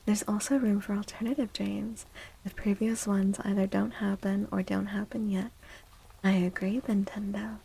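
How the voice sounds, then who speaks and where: A woman speaking softly and soothingly in a whispery, breathy voice, as an ASMR livestream host. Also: mid-range voice, little pitch movement, a little hiss or hum, poor audio. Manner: gentle, soothing, unhurried. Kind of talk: soft-spoken ASMR, tarot reading and chatting with viewers.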